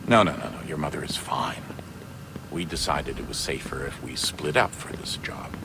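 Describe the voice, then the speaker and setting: A man playing a stern, awkward father of a grown son in a video game, speaking haltingly and earnestly. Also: extremely low pitch, animated, gravelly, background noise, exaggerated voice. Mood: reassuring, guarded. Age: middle-aged. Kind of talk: video game cutscene acting.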